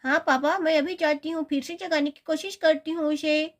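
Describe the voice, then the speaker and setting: A woman's voice: sits high, fairly even intonation, moderate pace, lightly acted. A woman voicing a mischievous, whiny young girl in a children's cartoon story. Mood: playful.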